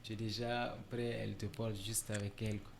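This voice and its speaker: A man from Niger, a musician guest in a casual interview, relaxed and filler-heavy, dropping in English words. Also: on the low side, brisk, gravelly, a little hiss or hum.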